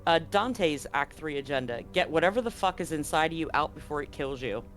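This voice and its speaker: A man's voice: on the high side, animated, a little fast, a bit bright, soft background music. A man as game master of a tabletop roleplaying game, explaining rules and recapping in a chatty way with frequent ums.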